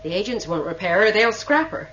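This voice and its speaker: A woman's voice: mid-range voice, sing-song, brisk, a bit bright. An actress playing a tough, commanding female starship captain in a sci-fi radio drama, speaking in terse threats. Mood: blunt, matter-of-fact. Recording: muffled, hissy old radio recording with hum.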